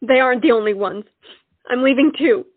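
A woman's voice: high-pitched, a little fast, phone-quality sound. A woman playing a proud, dramatic young lady in love, indignant and melodramatic, in a period stage comedy. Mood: flustered.

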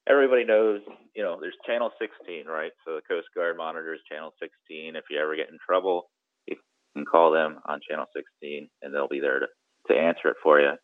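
Very deep-voiced man, muffled audio. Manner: conversational, explanatory, checks in with "right?". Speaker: guest, commercial mariner and boating trip planner. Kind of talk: informal explanatory interview. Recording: muffled narrow-band audio.

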